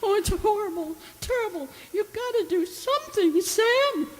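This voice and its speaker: A woman's voice: very high voice, expressive, moderate pace, a little hiss or hum. A woman playing a frightened, breathless wife in an old-time radio mystery, in a melodramatic, colloquial way. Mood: frightened, distraught. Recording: echoey hall, live stage performance.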